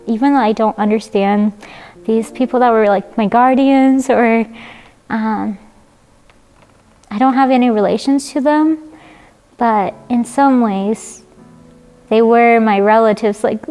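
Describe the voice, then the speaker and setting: A woman in her twenties, born in China, telling her adoption story in a halting way with many fillers. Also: fairly high pitch, a little mellow. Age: 20s. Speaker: interviewee. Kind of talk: personal testimony.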